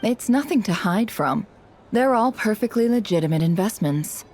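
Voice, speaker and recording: A woman playing a shrewd, polite intelligence operative in a video game, measured and knowing. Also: mid-range voice, expressive, darkish tone, slight background noise, natural voice. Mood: gently teasing. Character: composed, shrewd woman spymaster, politely calculating. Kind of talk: video game cutscene acting.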